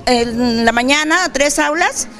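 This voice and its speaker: A woman's voice: sits high, slow, fairly bright tone. A middle-aged woman, the school principal, answering a reporter cordially and proudly. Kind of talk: interview answers.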